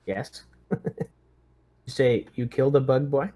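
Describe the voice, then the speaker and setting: A man's voice: mid-range voice, measured pace, warm tone. A man chatting and planning casually as a player in a tabletop game.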